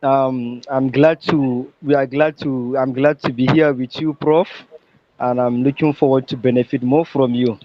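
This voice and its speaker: A man's voice: mid-range voice, steady tone, a little slow, no room sound. Polite man, dry dull line. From Nigeria. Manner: formal and polite, self-correcting. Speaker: student. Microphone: video call.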